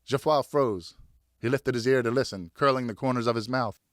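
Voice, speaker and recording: A man narrating an audiobook as a dramatic, theatrical storyteller with expressive scripted delivery. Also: mid-range voice, fairly quick, no echo, studio quality. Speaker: audiobook narrator, professional voice actor.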